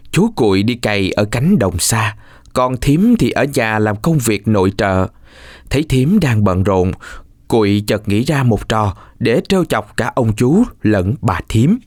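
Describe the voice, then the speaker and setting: A man narrates a scripted folk tale for children. His mid-range voice moves expressively up and down while the telling stays measured. The sound is close and dry, with little room to it. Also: medium pitch, darkish tone, no echo. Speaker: storyteller.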